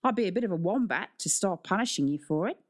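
A woman's voice: medium pitch, expressive, fast talker, close to an everyday voice. A woman voicing a young Australian male sports teacher in an audiobook, breezy, slangy and matey while giving orders. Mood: matey, upbeat. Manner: breezy, slangy, commanding but friendly.

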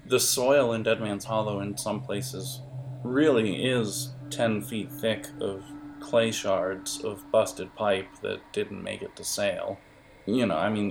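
A man improvising the narration of a tabletop adventure as game master, in a halting, conversational way. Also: low-pitched, vocal fry.

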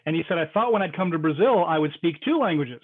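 A man who teaches and develops software, talking hesitantly with many fillers on a podcast. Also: fairly high pitch, expressive, quick pace. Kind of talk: casual podcast chat. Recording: dull lo-fi sound.

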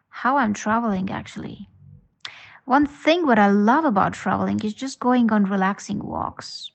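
A woman's voice: mid-range voice, vocal fry. A woman from Estonia with an Estonian accent, leaving a friendly, enthusiastic voice message for a podcast. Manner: friendly, enthusiastic, slightly non-native phrasing. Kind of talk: recorded voice message.

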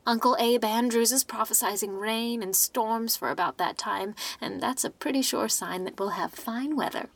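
A woman's voice: a little high, moderate pace, creaky, few pauses. A woman doing a chatty bustling village matron. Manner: chatty, opinionated. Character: bustling gossipy middle-aged village matron.

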